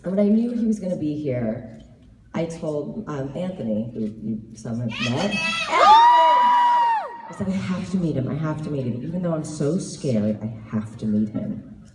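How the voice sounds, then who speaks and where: A woman in her thirties, slightly hoarse, answering fan questions at a convention panel with laughing, animated storytelling. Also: low voice, moderate pace, a loud crowd, very noisy. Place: large convention hall with audience. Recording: roomy hall recording from far off.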